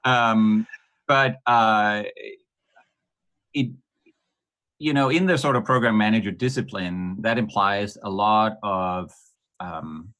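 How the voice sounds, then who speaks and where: Low-voiced Danish man, halting speech. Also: fairly bright tone. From Denmark. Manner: hesitant, many ums and restarts, laughs lightly. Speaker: guest. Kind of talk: podcast interview.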